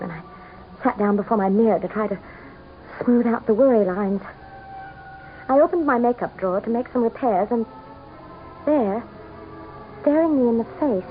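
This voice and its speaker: An actress playing a proud, scornful governor's wife in a radio drama, with a haughty, melodramatic manner. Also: female voice, on the high side, narrow, tinny audio, close to an everyday voice. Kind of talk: 1970s-style radio drama acting. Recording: thin, band-limited old radio broadcast.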